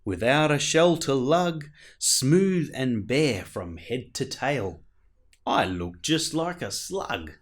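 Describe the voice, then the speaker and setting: A man voicing a little male snail from a storybook, expressive and anxious, in a plaintive, exclamatory way. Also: mid-range voice, takes it slowly. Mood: relieved, proud. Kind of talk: storybook character voice.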